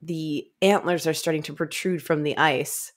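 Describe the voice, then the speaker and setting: Low-voiced young woman chatting casually. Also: fairly even intonation, moderate pace. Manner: acts out characters' lines in mock dialogue. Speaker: co-host of a book club show. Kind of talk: casual chat recapping a story. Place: small room at home, on a video call. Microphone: desk microphone, close.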